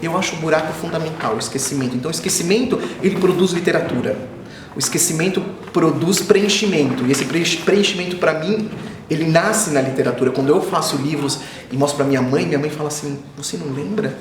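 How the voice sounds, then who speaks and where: A man in his forties, born in Israel and raised in Brazil, speaking as an author in an interview, reflective and often repeating himself, growing animated when he tells stories. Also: a little high, fast talker, echoey room, background noise. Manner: thoughtful, circling back and repeating phrases, animated when telling stories. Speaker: children's author, interview guest.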